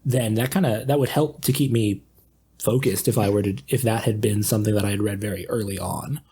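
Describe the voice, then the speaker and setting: A man with a husky voice chatting casually as a guest on a podcast. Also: lowish voice, steady tone, a little fast, dark tone. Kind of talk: casual conversational discussion.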